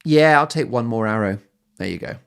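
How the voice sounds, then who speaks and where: A man's voice: mid-range voice, animated, a little fast, creaky, fairly bright tone, clean tone. A man hosting a podcast in a chatty solo monologue, speaking emphatically and with opinion, often building his points up in lists. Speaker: podcast host.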